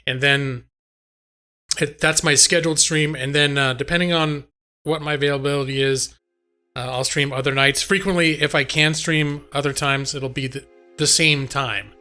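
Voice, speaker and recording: A slightly hoarse man streaming a game live, chatting casually and ramblingly to his viewers. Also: fairly high pitch, steady tone, moderate pace, pushed and bright, soft background music. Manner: rambling, conversational, addresses chat directly. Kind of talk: casual chat with viewers while gaming. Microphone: close microphone on a boom arm.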